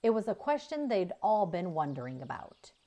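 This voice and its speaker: A woman's voice: mid-range voice, big pitch swings, normal speed, dark tone. A woman narrating a children's book as a scripted read-aloud. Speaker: storybook narrator.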